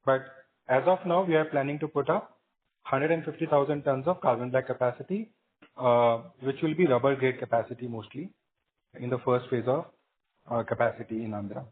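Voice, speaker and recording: A man with an Indian accent answering analysts as a company executive on a business call, measured and matter-of-fact in explaining. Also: mid-range voice. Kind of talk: spontaneous business Q&A on an earnings call. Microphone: conference call line. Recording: muffled narrowband phone conference line.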